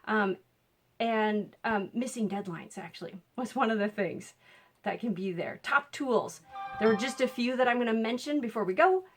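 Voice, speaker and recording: A woman's voice: on the high side, moderate pace, husky, soft background music. A woman with a Minnesotan accent, a coach talking casually to camera about learning differences, chatty and upbeat with small laughs and fillers. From Minnesota, USA. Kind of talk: casual direct-to-camera talk.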